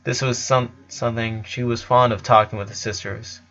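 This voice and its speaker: A man narrates a piece of fiction from a script. His pitch sits in the middle range for a man. The recording is a little muffled and lo-fi. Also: fairly even intonation, normal speed, bright, forceful tone, soft background music. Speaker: story narrator.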